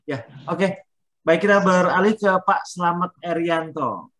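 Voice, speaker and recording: A man's voice: high voice, measured pace, a bit bright. Polite man hosting an online testimonial session. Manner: polite, encouraging, many fillers. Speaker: program mentor hosting testimonials.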